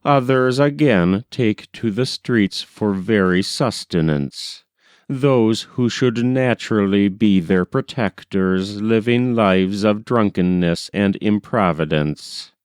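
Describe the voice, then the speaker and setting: A man narrates a classic novel in a fairly low, smooth voice. His delivery is very unhurried, with measured and deliberate phrasing, like a careful audiobook reader. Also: a little mellow, dry recording, studio quality. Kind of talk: scripted narration of a 19th-century novel.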